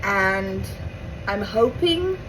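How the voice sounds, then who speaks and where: A woman's voice: mid-range voice, big pitch swings, very slow. A young woman with an Afrikaans accent, from South Africa, telling a rambling, chatty story to camera with asides and self-corrections. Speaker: vlogger. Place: home with appliances running. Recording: noisy home recording.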